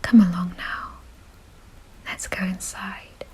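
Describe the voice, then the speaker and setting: A woman playing a kind, doting aristocratic vampire in an ASMR roleplay, in a soft, breathy whisper with a gentle, genteel, reassuring manner. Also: on the low side, flat delivery, takes it slowly, exaggerated voice. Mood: fond, doting.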